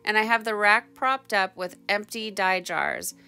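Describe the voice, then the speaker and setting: A woman's voice: mid-range voice, measured pace, bright, forceful tone, smooth, soft background music. A woman giving a chatty, informal instructional voice-over as a craft tutorial host. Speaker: tie-dye tutorial host.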